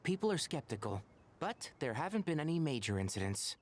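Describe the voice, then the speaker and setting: An actor playing a composed young forest watcher and mentor in an anime-style video game, articulate and matter-of-fact. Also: male voice, on the high side, very animated, a little fast. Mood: serious, explanatory.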